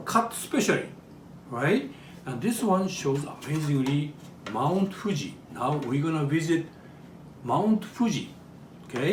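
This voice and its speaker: A man from Japan with a Japanese accent gives a live show-and-tell demonstration, often checking in with his audience through short questions. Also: a little high. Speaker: presenter demonstrating traditional toys. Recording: roomy, distant mic with background noise.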